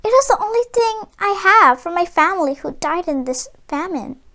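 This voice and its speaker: A woman's voice: very high-pitched, expressive, moderate pace, pushed and bright, voice pushed up, heavily acted. A woman voicing a small, lonely orphan girl in a children's storybook, earnest and pleading as she speaks. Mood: earnest offering. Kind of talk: children's storybook read-aloud.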